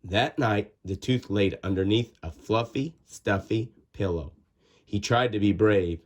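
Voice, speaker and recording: A man reading a children's storybook aloud in an expressive, dramatic way with drawn-out words and pauses. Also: on the low side. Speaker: storybook reader. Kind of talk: animated children's read-aloud narration.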